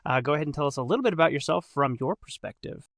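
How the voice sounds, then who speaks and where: A man hosting a podcast, interviewing casually with an excitable, gushing manner. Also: on the high side, very fast, gravelly, no room sound, studio quality. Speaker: podcast host.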